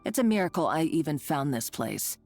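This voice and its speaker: A spiteful woman villain taunts and bosses in a somewhat low, cutting voice. She speaks down to her listener with scornful condescension, over faint game music. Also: sing-song, fairly quick, a little mellow, full character voice. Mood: gloating. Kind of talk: game voice acting.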